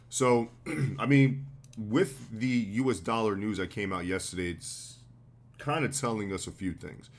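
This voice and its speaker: An American man with a slightly hoarse voice, hosting a live trading stream in a relaxed, slangy way. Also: lowish voice, slight background noise. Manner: relaxed, loose, slangy explaining with fillers. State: slightly hoarse, getting sick. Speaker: trading livestream host. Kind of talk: casual live market commentary.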